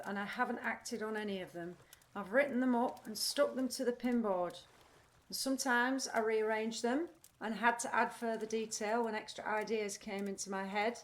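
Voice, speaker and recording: An actress playing a troubled, candid, anxious woman in an audio play, halting as she searches for words. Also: a little high, natural voice. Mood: exasperated, deliberate. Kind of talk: audio play acting, confessional monologue.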